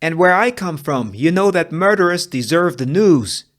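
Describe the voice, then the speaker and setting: A man's voice: fairly high pitch, lively intonation, moderate pace, fairly bright tone, voice pushed up. A man voicing indignant townsmen challenging a bully in a Western script reading. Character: indignant saloon townsmen of the Wild West.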